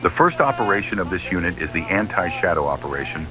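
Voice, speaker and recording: A man's voice: deep voice, animated, fast talker, music in the background, low-quality recording. A man reading a scripted recruitment announcement in a formal, official-sounding manner. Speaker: narrator of an analog horror tape.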